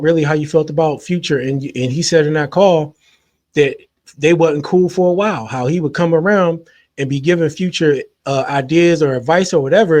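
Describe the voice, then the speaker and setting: A man hosting a commentary show, giving his measured, skeptical take and often trailing off quietly. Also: fairly high pitch, dry recording. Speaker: commentary host. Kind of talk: opinion commentary.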